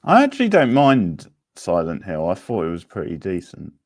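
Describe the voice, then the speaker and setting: Deep, gravelly male quiz host. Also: wildly swooping pitch, moderate pace, dry recording, studio quality. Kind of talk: casual quiz hosting chat.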